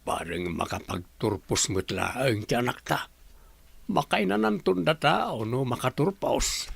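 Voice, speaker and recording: A man playing an ailing, weary village father in a radio drama, with a gravelly, husky voice and an Ilocano accent. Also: mid-range voice, expressive, a little slow, full character voice. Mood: resigned.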